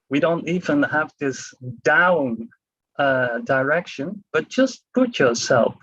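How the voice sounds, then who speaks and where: Dutch guy, fairly high husky voice, halting academic talk full of uhs, dull dry lo-fi sound. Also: a bit bright, dry recording. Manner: hesitant, many fillers and restarts. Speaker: panel speaker and author. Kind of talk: academic talk at a public book event.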